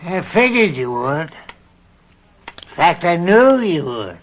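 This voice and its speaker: An actor playing a scheming, controlling older farm uncle with drawn-out, falsely kindly wheedling in an old radio mystery drama. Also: male voice, a little high, sing-song. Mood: smug.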